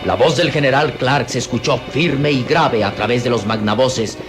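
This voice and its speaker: A man's voice: mid-range voice, moderate pace. A man narrating a radio serial in a solemn, suspenseful, declamatory scripted style. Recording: radio serial with loud music.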